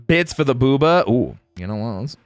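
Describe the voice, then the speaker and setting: Highly animated man streaming, playful banter. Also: dry recording. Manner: exclamatory, animated reactions. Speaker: streamer and host; game voice actor. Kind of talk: casual livestream chat, greeting viewers.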